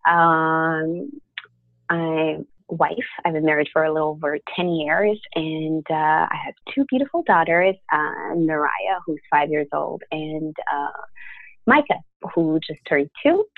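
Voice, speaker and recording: A woman in her thirties whose first language is French talks casually as a podcast guest, hesitant and filling pauses with ums. Her voice sits a little low. It comes through a tinny, dry phone line. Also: no room sound. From born in Africa, grew up in Quebec, Canada. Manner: hesitant, many ums, laughs easily. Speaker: podcast guest, business owner. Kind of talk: casual interview chat.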